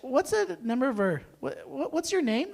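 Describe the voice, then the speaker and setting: A man in casual live stage chat, teasing and joking as a panelist with an animated delivery. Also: extremely high pitch, moderate pace, creaky. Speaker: performer and panelist at a live table read. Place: small live venue, on stage.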